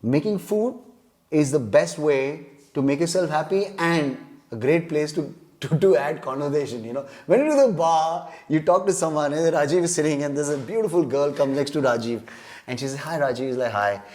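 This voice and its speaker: A man's voice: on the high side, moderate pace, a little mellow. A man, a guest telling rambling jokes in a casual chat and laughing often. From India. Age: 30s. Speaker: podcast guest.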